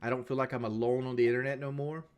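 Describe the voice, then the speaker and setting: A man's voice: medium pitch, level tone, fast, clear, resonant tone. Blunt, rambling male streamer. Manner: repeats himself and restarts often. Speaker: livestreamer. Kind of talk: casual livestream chat.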